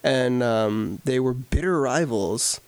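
Young male co-host, mid-pitched, dry close sound, trivia-heavy chat. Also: clear, resonant tone, no echo. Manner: informative, quick with film trivia. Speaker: podcast co-host. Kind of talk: casual movie chat.

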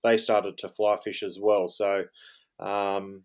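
This is an Australian man around forty with a fairly low voice. He keeps his pitch flat and even. He speaks casually as an interview guest and pads his speech with plenty of "um" and "you know". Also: slow. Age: 40s. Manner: rambling, lots of fillers like um and you know. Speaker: guest, fly fishing guide. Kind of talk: relaxed interview talk.

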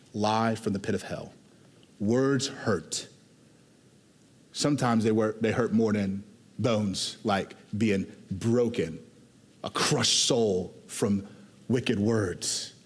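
A man from Houston, Texas, preaching a sermon as a pastor in a conversational, animated way that moves between quick asides and slow, emphatic lines. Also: fairly low pitch. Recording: long hall reverb.

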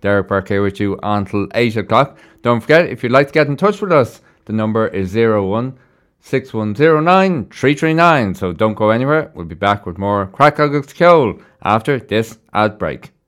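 Smooth low-voiced Irish radio host. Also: male voice, lively intonation, moderate pace, a bit bright. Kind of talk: casual live local radio presenting.

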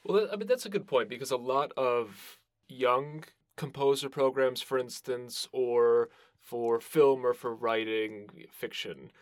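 A man's voice: mid-range voice, a little slow, no room sound, studio quality. A man interviewing in a casual, conversational style, agreeable and a little hesitant in his phrasing. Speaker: podcast host, interviewer.

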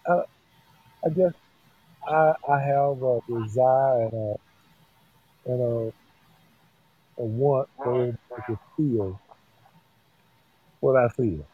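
Slow husky man, hesitant and halting. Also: mid-range voice, narrow, tinny audio. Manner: hesitant, halting, searches for words. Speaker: discussion guest. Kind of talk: casual discussion.

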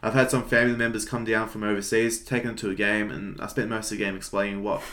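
Young Australian male, lowish pitch, easygoing podcast co-host with dry, self-deprecating delivery. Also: level tone, fast talker, sharp tone. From Melbourne, Australia. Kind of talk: casual podcast chat.

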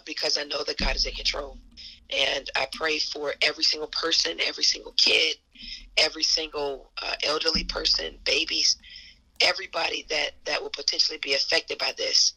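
Hoarse lady coach, gravelly, pretty flat delivery, earnest and repeating herself. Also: deep voice, fairly quick, rough recording. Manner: earnest, faith-driven, repeats phrases for emphasis. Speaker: assistant basketball coach. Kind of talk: casual interview answers.

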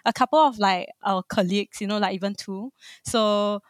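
A woman's voice: mid-range voice, normal speed, no echo, studio quality. A young woman from Singapore with a Singaporean English accent, chatting cheerfully as a podcast guest and laughing often. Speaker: guest, Amazon account manager. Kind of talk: casual interview chat.

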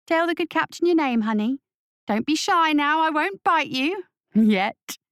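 A woman acting out a sneering, high-voiced menacing witch. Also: lively intonation, measured pace, no room sound, studio quality. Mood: mockingly sweet, menacing. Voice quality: thundering. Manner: sneering, taunting. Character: menacing female witch gang leader, mocking and cruel.

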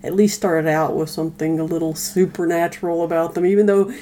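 A woman's voice: lowish voice, fairly even intonation, brisk, mellow. A woman chatting casually as a podcast guest, informal and a little hesitant. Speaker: guest co-host. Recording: noticeable background noise.

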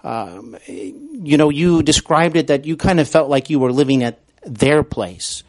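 A man hosting an interview show, speaking earnestly in a halting way as he introduces and questions his guest. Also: a little high, animated, dry recording. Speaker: podcast host.

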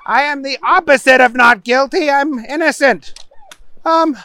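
Performer doing a high-pitched, dim, bumbling defendant. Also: a little slow, sharp tone, dry recording, exaggerated voice. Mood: muddled, earnest. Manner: bumbling, emphatic, mixes up simple words. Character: dim, earnest, muddled male defendant playing lawyer. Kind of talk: comic character voice in storytelling.

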